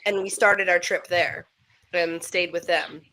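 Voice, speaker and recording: An American woman hosting a casual group chat over a video call, telling stories in a lively, animated way with frequent laughter. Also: lowish voice, measured pace, slight background noise, low-quality recording. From United States. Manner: chatty, animated storyteller who laughs often. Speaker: host.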